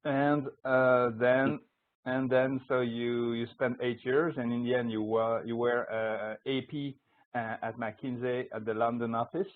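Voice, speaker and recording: A man's voice: mid-range voice, level tone, unhurried. A man hosting a radio show, introducing his guest casually with many hesitations and fillers. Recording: tinny phone-line audio.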